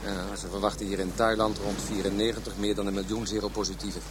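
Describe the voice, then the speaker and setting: A man's voice: on the low side, flat delivery, fast talker, noisy background, natural voice. An actor playing a world-weary, knowing Dutch police detective in a radio drama, a man with a matter-of-fact, grim way of explaining things. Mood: grimly informative.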